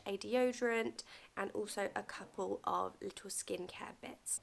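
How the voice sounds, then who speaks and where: Chatty woman, slight vocal fry. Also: mid-range voice, fairly bright tone, slight background noise. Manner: chatty, friendly, direct to camera. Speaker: vlogger. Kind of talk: casual advice vlog. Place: bedroom at home, indoors.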